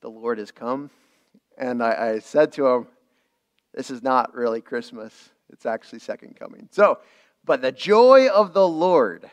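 A man's voice: mid-range voice, lively intonation, measured pace. A male pastor preaching a midweek sermon, earnest, repeating phrases and restarting lines.

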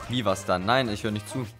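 A man's voice: lowish voice, unhurried. A young man bantering casually as he plays a co-op video game, joking and dropping in English phrases. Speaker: let's player. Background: video game music.

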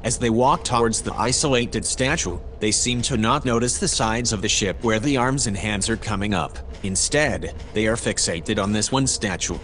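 An actor playing a composed analyst who reports events in a measured, formal way in a sci-fi audio drama. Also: male voice, on the low side, fairly bright tone, lightly acted. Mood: composed, observant. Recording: clear background noise and ambience.

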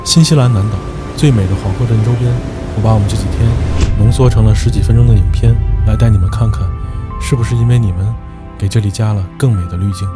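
A man narrating his travel vlog in a calm, explanatory way, giving practical tips. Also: on the low side, fast. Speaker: travel vlogger. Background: background music.

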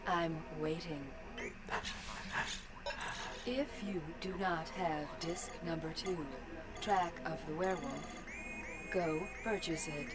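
Slow, authoritative mission announcer. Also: female voice, lowish voice, very noisy. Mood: insistent. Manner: deliberate, commanding, instructive. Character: cool, authoritative female mission control announcer. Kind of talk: scripted interactive audio game narration.

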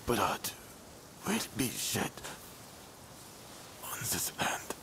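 Gravelly, halting dying swordsman. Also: fairly low pitch, wildly swooping pitch, sharp tone, in a whisper, exaggerated voice. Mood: weak pleading. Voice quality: breathy, gravelly. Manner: halting, broken phrases. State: fading, labored breath. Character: dying, remorseful killer swordsman, grim and pleading. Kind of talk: video game cutscene acting.

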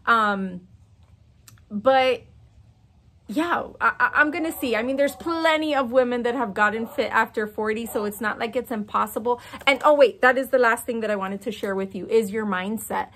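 A woman in her forties with a fairly high voice speaks straight to camera from an outdoor patio by the water. Her talk is casual, chatty and frank, and she gestures as she goes. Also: normal speed, a little hiss or hum. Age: 40s. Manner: animated, talks with her hands, chatty and frank. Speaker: fitness coach and vlogger.

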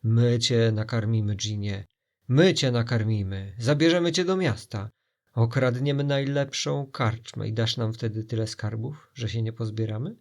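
Man doing a gullible pirate, greedy, clamouring, slow-witted, storybook style, gravelly fry. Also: medium pitch, a little mellow, lightly acted. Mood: eager.

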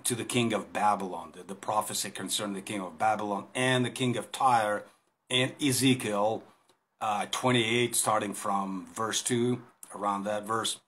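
A man's voice: on the low side, fairly even intonation, moderate pace, fairly bright tone. A man in his fifties with a Russian accent, a native Russian speaker, giving an informal Bible talk to a phone camera in a small room, hesitant with many fillers and restarts. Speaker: Bible teacher. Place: small indoor room against a plain wall. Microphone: phone camera at arm's length.

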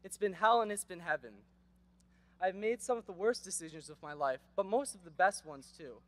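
A teenage boy delivers a graduation speech into a podium microphone on an outdoor stage. His voice sits high and the sound is dry and close. He is earnest and rhetorical, with wry asides. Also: a little fast, fairly bright tone, no room sound. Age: teens. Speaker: graduation speaker. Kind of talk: prepared commencement speech.

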